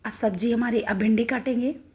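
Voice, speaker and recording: A middle-aged woman with a hoarse, sore throat, walking through a home recipe step by step in a homely, instructive way. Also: a little high, fairly even intonation, a little fast, darkish tone. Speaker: cooking channel host. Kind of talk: home cooking demonstration.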